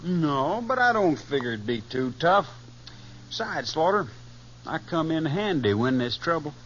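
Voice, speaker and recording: An actor in a 1950s radio western playing a sullen, challenging young American gunslinger. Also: male voice, on the high side, big pitch swings, unhurried, fairly bright tone. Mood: cocky. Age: young adult. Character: touchy, cocky young gunslinger spoiling for trouble. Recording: muffled old radio recording.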